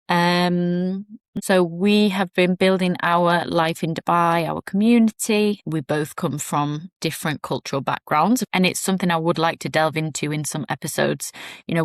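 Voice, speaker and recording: A woman's voice: on the low side, no echo, clean studio sound. A young woman from Yorkshire with a Yorkshire accent, hosting her podcast in a chatty, friendly way with little laughs and fillers. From England, Yorkshire. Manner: chatty and warm, with giggles and fillers. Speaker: podcast host. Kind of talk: casual solo podcast chat.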